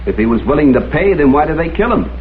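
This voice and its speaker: An actor playing a hardboiled, sardonic detective in a dry, understated way, in the style of an old crime drama. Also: male voice, a little high, very animated, quick pace, a little hiss or hum. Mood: menacing, dry. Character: tough, sardonic hardboiled male private detective. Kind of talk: mid-century hardboiled detective radio-style drama acting. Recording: tinny vintage broadcast with music behind.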